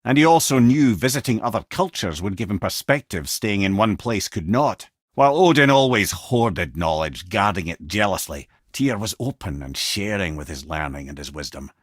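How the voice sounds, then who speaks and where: An actor playing a wise, witty old Scottish storyteller and advisor in a video game, speaking in an eloquent, theatrical and wry way. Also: male voice, on the low side, expressive, normal speed, hardly any pauses, husky, no echo, clean studio sound. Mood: storytelling.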